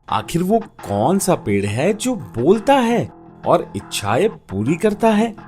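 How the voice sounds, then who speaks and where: A man playing an eager, earnest young village son in a story, speaking emphatically. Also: a little high.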